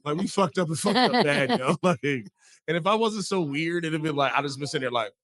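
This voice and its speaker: A man's voice: on the high side, brisk, no echo, clean studio sound. Profane, sardonic man of forty, casual banter. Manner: profane, sardonic, animated storytelling. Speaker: talk show co-host. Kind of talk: casual comedic chat.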